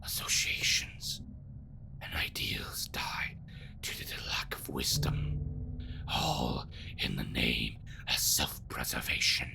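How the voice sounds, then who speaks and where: An actor playing a bitter, courtly schemer in an audio drama, speaking theatrically in a gravelly half-whisper with formal, archaic wording. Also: a bass voice, slow pace, full character voice. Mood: brooding, bitter. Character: bitter, lamenting, courtly schemer bound by a bargain. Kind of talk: dramatic scripted monologue.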